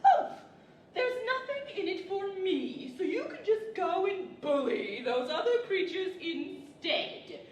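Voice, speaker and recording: An echoey stage recording of a sullen, haughty camel. The voice is high and drags very slowly, grumbling lazily as in an old radio play. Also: female voice, lively intonation, exaggerated voice. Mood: haughty, defiant. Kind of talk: 1940s-style radio theater acting. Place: large library event room, live stage. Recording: distant, echoey live hall with faint noise.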